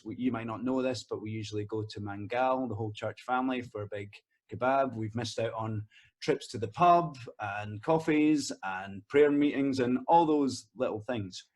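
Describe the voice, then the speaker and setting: A man interviews a vicar on behalf of his church community. His questions wander and pile up, one after another, with pauses and ums. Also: fairly low pitch. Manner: rambling, stacks several questions at once.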